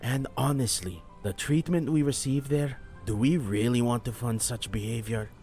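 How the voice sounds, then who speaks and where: A man's voice: medium pitch, normal speed, darkish tone, soft background music, pitched above the performer's own voice, close to an everyday voice. A man voicing an articulate, unrepentant rogue who justifies himself, in a fantasy audiobook. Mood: defensive. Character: smooth-talking thrifty male rogue, unrepentant and self-justifying.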